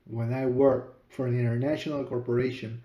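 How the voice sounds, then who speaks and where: A middle-aged man from Peru, a native Spanish speaker with a Spanish accent, hosting his own podcast and telling his story in a measured, deliberate way. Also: medium pitch, little pitch movement, dark tone, echoey room, highs cut off. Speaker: podcast host and author. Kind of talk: storytelling monologue.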